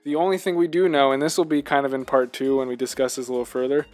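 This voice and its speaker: A man co-hosting a casual video game discussion, talking in an animated, rambling way and repeating himself for emphasis. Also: medium pitch, fast, faint music behind. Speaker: podcast co-host.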